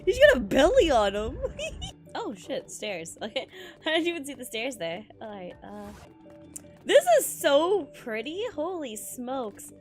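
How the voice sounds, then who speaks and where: A woman with a fairly high, very animated voice reacts casually to a game as she streams it. Her talk is slangy and exclamatory, with swearing, and some music plays behind her. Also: unhurried. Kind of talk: casual gameplay reaction commentary.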